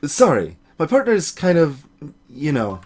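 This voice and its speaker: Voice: medium pitch, wildly swooping pitch, measured pace, a little mellow. A let's player voicing a young male video game lawyer, wry, stammering and easily flustered. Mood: sheepish. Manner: exclamatory, stammering, dry.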